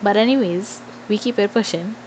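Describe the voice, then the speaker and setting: A woman's voice: mid-range voice, normal speed, darkish tone, slight background noise, rough recording. A woman in her twenties with a Trinidadian accent chats informally and playfully to followers in a casual Q&A, thinking aloud as she goes. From Trinidad and Tobago. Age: 20s. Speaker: vlogger.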